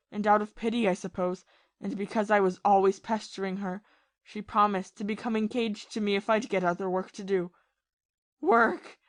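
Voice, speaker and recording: A woman playing a bitter, lovesick, ruined ex-army captain in a period audio play, confessing passionately and haltingly. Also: medium pitch, no room sound, lightly acted. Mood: self-loathing. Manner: confessional, impassioned, halting with repeated phrases.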